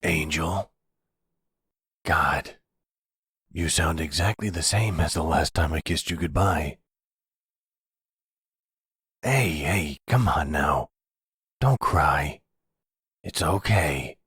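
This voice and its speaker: A man acts out a long-lost mob husband, loving and full of remorse. The voice is extremely low and rough, with a slow, halting, pleading delivery in an intimate roleplay drama. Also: a bit bright, no echo, clean studio sound, exaggerated voice. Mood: remorseful, loving. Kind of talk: ASMR audio drama roleplay.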